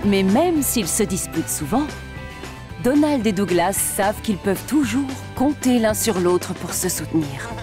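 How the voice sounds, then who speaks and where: Woman narrator, children's story, animated intonation, music behind. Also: mid-range voice, a little slow.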